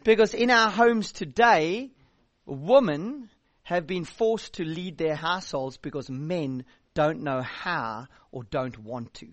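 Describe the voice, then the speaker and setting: A man's voice: a little high, animated, slow. A South African youth pastor in his thirties preaching a sermon, direct and blunt, with a dry joking edge.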